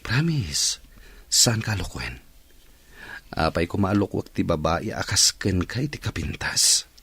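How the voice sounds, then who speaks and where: A man playing a smooth-talking suitor in an Ilocano radio drama, with an Ilocano accent. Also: low voice, sing-song, a little slow, a bit bright, dry recording, full character voice. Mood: coaxing. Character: smooth-talking male suitor courting a young woman.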